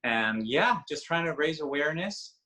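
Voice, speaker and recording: A man's voice: on the high side, animated, moderate pace, sharp tone. A man from Mexico with a Mexican Spanish accent, a native Spanish speaker, speaking earnestly as a guest on a panel video call.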